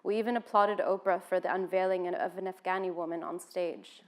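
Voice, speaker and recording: A woman's voice: on the low side, flat delivery, brisk. An American woman from Washington, D.C., giving an informal seated talk to an audience in a conversational way, with frequent "um" fillers. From United States (Washington, D.C.). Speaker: speaker at a public talk.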